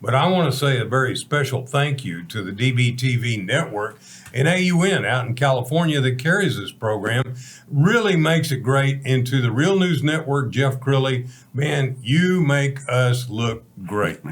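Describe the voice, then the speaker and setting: A man's voice: medium pitch, animated, moderate pace. A man in his seventies from Texas with an American accent, hosting a talk show in a folksy, emphatic, conversational way. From Texas, United States. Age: 70s.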